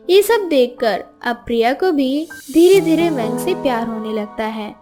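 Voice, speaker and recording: High-voiced woman narrating over music. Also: a little mellow. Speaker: story narrator. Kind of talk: scripted moral-story narration. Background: clear background music.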